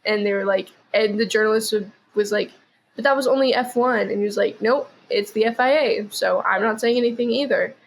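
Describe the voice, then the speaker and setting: A woman's voice: mid-range voice, a little fast, creaky, a little hiss or hum, poor audio. A woman chatting casually as a podcast guest, rambling with lots of "like" and "um". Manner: rambling, heavy use of "like" and "um". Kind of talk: casual chat.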